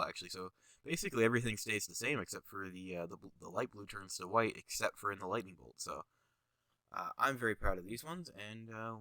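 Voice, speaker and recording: A young man with a stuffy, congested voice wanders through casual commentary full of fillers and tangents. His tone is bright and a little tense, pitched slightly low, and the recording is close and dry. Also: no room sound. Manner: rambling, lots of fillers, goes on tangents. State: stuffy nose, sniffling, tired. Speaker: channel host reviewing his own jersey designs. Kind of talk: casual commentary over design images.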